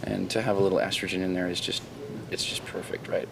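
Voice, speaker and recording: A Canadian man, a guitarist who founded his band, answering interview questions in a confident, rambling way and clearing his throat now and then. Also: sits low, little pitch movement, fast talker. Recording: raw camcorder audio with noticeable noise.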